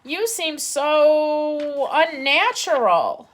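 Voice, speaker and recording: A woman reading aloud, voicing a fearful, excitable male owl who hoots and screeches at a crowd of animals. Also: normal speed, close to an everyday voice. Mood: alarmed. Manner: hooting, screeching, high and loud.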